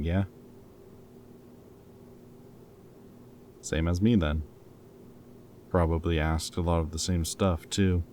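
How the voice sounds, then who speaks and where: With clear background noise, a man acts out a captured rebel scientist with a very low voice. He is caring and weighed down by guilt, talking haltingly and earnestly, as in an intimate dramatic audio roleplay. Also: darkish tone. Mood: subdued, reassuring. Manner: hesitant, halting, casual and earnest.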